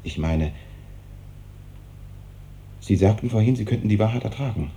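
An actor playing an evasive, hesitant hospital doctor in a 1950s German radio drama, on an old noisy recording. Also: male voice, lowish voice, expressive, normal speed, gravelly, warm tone. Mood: grave. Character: evasive, brisk hospital doctor, uneasy with hard truths.

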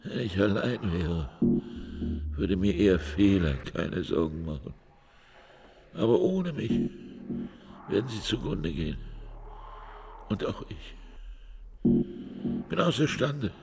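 A worn-down imprisoned father in a radio play. He speaks slowly in a low, creaking voice, weighed down by despair and resignation. Clear background noise surrounds him. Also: very animated, darkish tone, exaggerated voice. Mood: anguished. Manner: heavy, anguished. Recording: noisy ambience under the voice.

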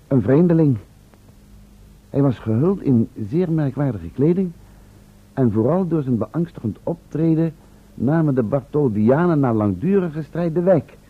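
A man's voice: medium pitch, husky, heavily acted. A man playing a professor's curious, sceptical assistant in a Dutch radio play, speaking formally with dry irony. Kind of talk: Dutch radio drama acting. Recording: narrow, tinny old radio recording.

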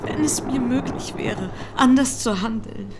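A meek young niece, distressed yet steadfast, in a radio drama. Her voice is fairly high and halting, with clearly audible background noise around it. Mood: apologetic, distressed. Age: young adult. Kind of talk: German radio drama acting.

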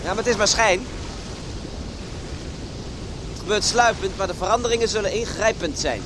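An actor playing a serious, cautioning man in a Dutch radio play, speaking deliberately. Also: high voice, close to an everyday voice. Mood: pensive. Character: serious, cautioning male companion of a young woman. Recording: noisy, surf and wind behind. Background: sea waves and wind.

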